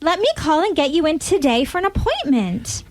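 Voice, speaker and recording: A woman's voice: sits high, big pitch swings, moderate pace. A woman playing an oblivious, doting wife in an old-time radio comedy sketch, airy and soothing. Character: oblivious, doting wife who mishears everything.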